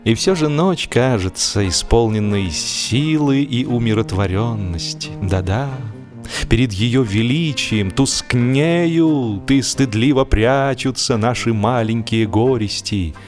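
Slow-paced male literary narrator over music. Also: mid-range voice. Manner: expressive first-person storytelling with deliberate pauses. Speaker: audiobook narrator, actor. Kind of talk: dramatic scripted literary narration for radio. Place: radio studio.

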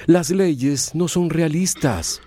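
A man acting a smooth-talking, condescending, placating politician in a radio drama. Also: fairly high pitch, takes it slowly, dark tone, dry recording. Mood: patronizing calm. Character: smooth-talking, patronizing male politician, flirtatious and unbothered.

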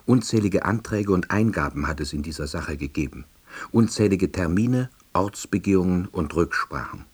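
A man's voice: low-pitched, lively intonation, moderate pace, slightly rough. An actor playing an earnest, awkward, self-important salesman, narrating his life drily and wordily in a radio drama. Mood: dry, earnest. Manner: dry, wordy, officialese.

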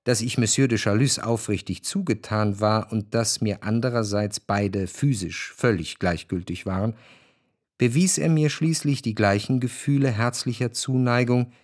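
A man narrating a literary radio drama, phrasing long, scripted sentences in a measured way. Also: fairly low pitch, darkish tone, no echo, clean studio sound. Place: dry studio.

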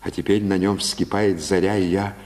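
An actor playing a proud, brooding Italian violinist with theatrical, poetic delivery in a 1950s Soviet radio drama. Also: male voice, deep voice, little pitch movement, moderate pace, a little mellow, natural voice. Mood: tender, intense.

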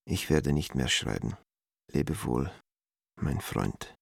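Anguished man with very deep voice. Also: expressive, vocal fry, breathy edge, no room sound, studio quality, full character voice. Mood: resigned, sorrowful. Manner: grave, formal, measured, urgent pleading. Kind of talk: radio play, dramatic reading of letters.

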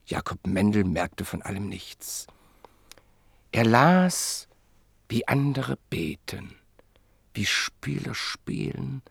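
A man narrating a radio play in a measured, literary storytelling manner. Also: on the low side, vocal fry, husky, no room sound.

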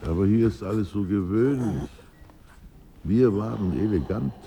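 An actor playing a proud, egocentric, nostalgic poet in a literary radio drama, speaking in a theatrical, declamatory way. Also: male voice, lowish voice, normal speed, gravelly, dark tone, a little hiss or hum. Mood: puzzled. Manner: theatrical, declamatory, swinging from murmur to outburst.

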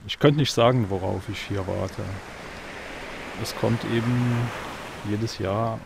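A man playing a pensive French painter in a radio play, with a French accent, halting as he searches for words. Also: fairly low pitch. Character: pensive, hesitant French painter idling by the sea. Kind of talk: documentary-style interview in a radio play. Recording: outdoors with clear surf noise.

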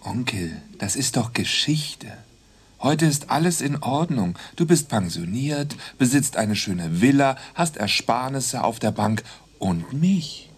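Young night-shift police dispatcher, radio crime play; husky mid-range voice, restrained, concerned, patient. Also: male voice, medium pitch, sing-song, slow pace, full character voice. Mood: firm, soothing. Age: young adult. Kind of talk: German radio crime drama acting.

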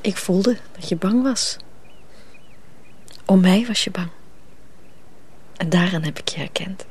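Casting: tender young village girl, breathy, unhurried, radio drama. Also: lowish voice, darkish tone.

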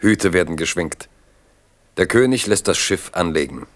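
A man narrating a historical chronicle in a literary, measured and vivid radio drama style. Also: low voice, expressive. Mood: narrative. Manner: measured, vivid present-tense telling.

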